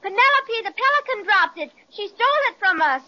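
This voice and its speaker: A woman's voice: extremely high pitch, animated, fairly quick, bright, forceful tone, heavily acted. A woman playing a sweet, polite, earnest little girl in an old children's radio serial. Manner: earnest, grateful, wholesome. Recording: dull old radio recording.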